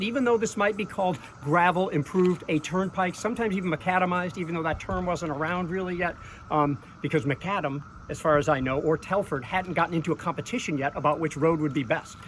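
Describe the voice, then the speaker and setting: A man's voice: high voice, fairly bright tone. A man co-hosting an outdoor battlefield tour, telling stories in a chatty way full of asides. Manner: chatty, storytelling, rambling asides. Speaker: tour co-host. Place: outdoors by a road, beside an old inn. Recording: outdoor road noise.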